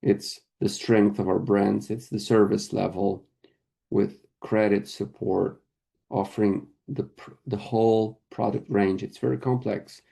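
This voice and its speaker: A man interpreting a corporate earnings call live, speaking haltingly in short phrases. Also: lowish voice, little pitch movement, gravelly, warm tone, breathy edge. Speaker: conference interpreter.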